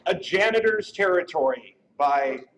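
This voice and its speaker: A man's voice: on the high side, expressive, normal speed, a bit bright, some chatter behind. A wisecracking, irreverent man ad-libbing banter as he presents an award on stage. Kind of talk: joking ad-libbed live stage banter. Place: convention stage. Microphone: stage microphone. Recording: noisy live event microphone.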